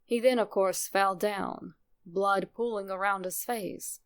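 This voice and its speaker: A woman with a creaky voice reading a scripted first-person horror story as a narrator. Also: mid-range voice, steady tone, takes it slowly.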